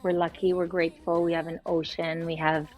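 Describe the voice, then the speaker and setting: A young woman from Israel, a singer, answering interview questions in a warm, proud, flowing way. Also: lowish voice, flat delivery, brisk. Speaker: guest singer. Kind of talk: casual interview chat.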